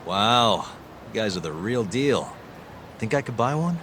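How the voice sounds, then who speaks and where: An actor playing a cool, good-natured investigator hero in a video game drama, speaking casually and with self-assurance. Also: male voice, on the low side, very animated, unhurried, a bit bright, slight background noise. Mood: pleasantly surprised. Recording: music behind the dialogue.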